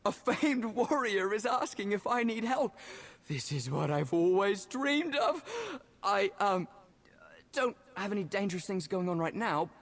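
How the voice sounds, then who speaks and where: An actor playing a giddy, starstruck fan of a legendary hero in a fantasy video game, gushing and stammering with excitement. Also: mid-range voice, a little slow. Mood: eager, excited.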